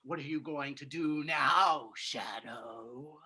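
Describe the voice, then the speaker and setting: A woman's voice: low-pitched, sharp tone, slightly rough, heavily acted. A woman playing a vengeful, murderous male villain in a theatrical, menacing old-time radio drama reading. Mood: triumphant madness. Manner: theatrical, menacing, drawn-out delivery.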